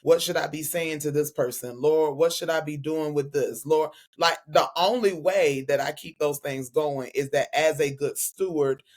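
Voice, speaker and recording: A woman's voice: sits low, moderate pace, few pauses. A woman preacher and guest speaker in a casual faith-centered conversation, talking expressively with laughs and affectionate asides. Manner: expressive, laughing, calls friend "girl" and "honey".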